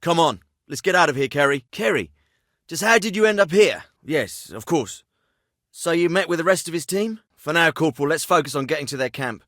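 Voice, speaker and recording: Bright, forceful male military commander. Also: fairly high pitch, animated, brisk, dry recording. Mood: calm, focused. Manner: clipped, authoritative, dry sarcasm. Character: brisk, stern special forces captain, sardonic and commanding. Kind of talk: video game military dialogue, radio orders. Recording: radio-filtered, band-limited clips with faint static.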